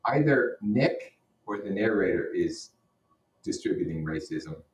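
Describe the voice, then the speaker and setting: Low-voiced male teacher in an echoey room. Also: lively intonation, unhurried. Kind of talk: reading a novel aloud with informal commentary. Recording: roomy echo, distant microphone.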